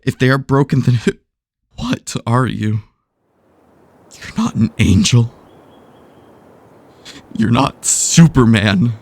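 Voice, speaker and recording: A man playing an anguished, noble superhero in an audio drama, telling his story in a solemn, halting way. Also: mid-range voice. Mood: pained, earnest.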